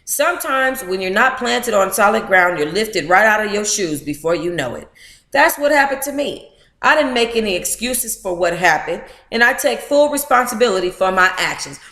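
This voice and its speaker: An American woman reads aloud as a book club host in an echoey room. Her delivery is informal and animated, moving between narration and playful, blunt asides. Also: medium pitch, normal speed. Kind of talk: informal read-aloud with candid, profane asides.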